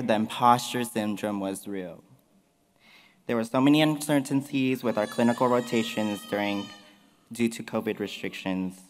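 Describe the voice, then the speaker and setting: A young man giving an earnest, emotional graduation speech at a podium in a large hall. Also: medium pitch. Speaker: graduating student speaker. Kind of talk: commencement speech. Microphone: podium mics. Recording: long hall reverb.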